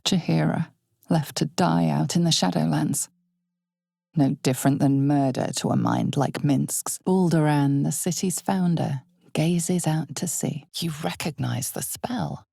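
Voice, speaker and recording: A woman playing an ominous, all-knowing narrator of a dark fantasy video game, speaking in a measured, dramatic way with hushed intensity. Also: low voice, creaky, no echo, studio quality, exaggerated voice. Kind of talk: scripted second-person fantasy game narration.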